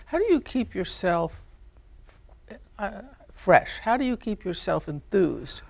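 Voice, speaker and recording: A woman's voice: medium pitch, very animated, slow, creaky, a little mellow. A woman hosting an interview show, asking reflective, curious questions. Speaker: talk show host. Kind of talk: interviewing a guest.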